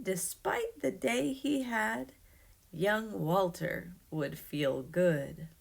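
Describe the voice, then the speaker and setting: Gravelly-edged woman reading a rhyming story. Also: medium pitch. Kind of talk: expressive read-aloud of a rhyming children's story.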